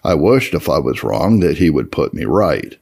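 Deep, gravelly man reading aloud. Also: sing-song, normal speed, darkish tone. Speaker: audiobook-style reader of historical religious texts. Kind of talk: scripted narration, reading an old first-person memoir aloud.

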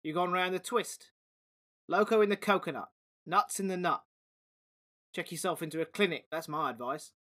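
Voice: mid-range voice, moderate pace, pauses often, a bit bright, natural voice. A podcast host voicing a brash, streetwise male pigeon in a read-aloud story. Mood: cocky. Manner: brash, dismissive, chatty. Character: cheeky streetwise male pigeon, British slang. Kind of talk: storybook reading.

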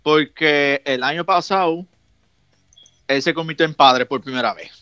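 A man's voice: fairly high pitch, moderate pace, pushed and bright, clear, resonant tone, dry recording, lo-fi sound, faint music behind. A man, co-hosting a casual wrestling chat and chiming in with friendly remarks. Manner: friendly, chiming in, says compay. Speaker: wrestling podcast co-host.

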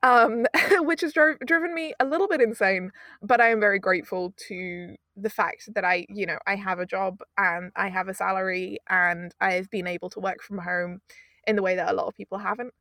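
Woman chatting as a guest, lively, trips over words a bit, dry sound. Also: medium pitch, dry recording. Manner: animated, fast-talking with frequent restarts. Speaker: audio producer, guest. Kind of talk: casual interview chat.

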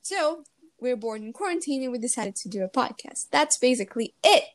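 A woman's voice: high voice, lively intonation, brisk. A teenage girl from Brazil, not a native English speaker, co-hosting a casual podcast and chatting with lots of fillers and giggles. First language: Portuguese. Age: teens. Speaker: podcast co-host. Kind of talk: casual chat.

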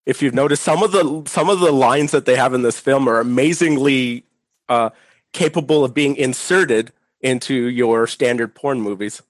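A man's voice: mid-range voice, moderate pace, dry recording. A man guesting as a film critic, making wry jokes in a casual chat. His voice sits mid-range in a dry recording.

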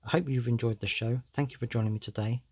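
A man's voice: medium pitch, steady tone, fast talker, husky, no room sound, dull audio. A man, hosting a solo podcast in a candid, conversational way, often saying "you know". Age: 40s. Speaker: podcast host.

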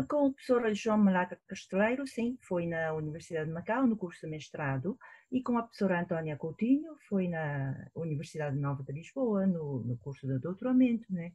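A woman's voice: fairly low pitch, mellow, breathy edge. A middle-aged woman with a Chinese accent answers interview questions in Portuguese, a language she learned as an adult. She speaks thoughtfully and hesitantly, searching for words with frequent fillers. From China. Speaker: professor, guest. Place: at home in Shanghai, remote call.

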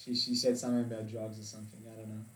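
A man's voice: on the low side, completely flat delivery, rapid-fire, fairly bright tone, recorded from a distance. An eighteen-year-old man in casual, laid-back banter, swearing freely as he reads profiles aloud. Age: young adult. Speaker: co-host reading dating profiles. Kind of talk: casual chat. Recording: echoey room.

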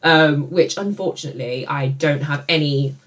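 A woman's voice: deep voice, normal speed. A young woman from China who grew up partly in the UK, a native Mandarin speaker with a British accent, co-hosting a casual conversational podcast. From born in northern China, grew up partly in the UK. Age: young adult. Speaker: podcast co-host.

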